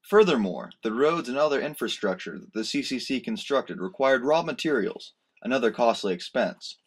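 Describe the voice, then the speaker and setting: A man's voice: mid-range voice, lively intonation, normal speed. A man reading scripted narration for a student history documentary.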